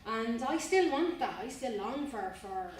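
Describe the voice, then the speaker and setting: A woman's voice: on the high side, expressive, a little slow. A young Irish woman speaks earnestly to a student audience, circling back over her words and repeating phrases as she thinks aloud. She is recorded from across the room in a space with heavy echo and a faint background hum. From Ireland. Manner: earnest, rambling, repeats and restarts phrases, says "you know what I mean". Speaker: spoken word artist giving a talk. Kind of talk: informal talk to students. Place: university room, indoors. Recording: distant, very echoey room with faint ambience.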